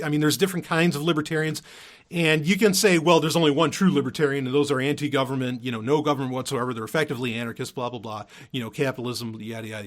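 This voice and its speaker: A man hosting a podcast in a casual, unscripted monologue, rambling and emphatic, often repeating himself and swearing casually. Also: fairly high pitch. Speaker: podcast host.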